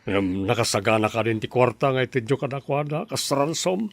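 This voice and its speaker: A man's voice: medium pitch, expressive, brisk. A man playing a sly, plotting uncle. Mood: falsely soothing. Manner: smooth, sly, falsely soothing. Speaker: uncle, Manong Lito. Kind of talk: radio drama acting.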